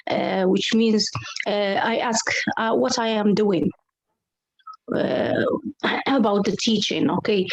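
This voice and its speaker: A woman's voice: medium pitch, slightly rough, dry recording, muffled recording. A woman from Morocco, an English teacher speaking on an online round table, hesitant and full of fillers and restarts. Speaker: English teacher and club coordinator.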